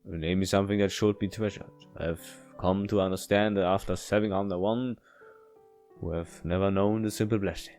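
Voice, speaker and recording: Male let's player, lower register, stumbling casual read-aloud over light plucked guitar music. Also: fairly even intonation, a little mellow. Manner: halting delivery, stumbles and repeats words while reading. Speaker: video game let's player. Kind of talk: reading a visual novel aloud with casual commentary. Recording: music behind the voice.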